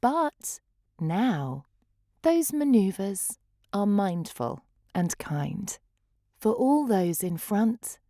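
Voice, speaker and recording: A woman reading a rhyming picture book aloud with expressive, theatrical rhythm. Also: mid-range voice, very slow, no echo, clean studio sound. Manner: theatrical, rhythmic delivery of rhyme. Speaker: children's story reader.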